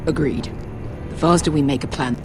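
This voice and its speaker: A woman's voice: low-pitched, moderate pace, over music, close to an everyday voice. Female sellsword, game actress: tough, coarse, mocking, blunt, cynical, scored backing. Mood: sardonic. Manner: blunt, sardonic. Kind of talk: video game drama acting.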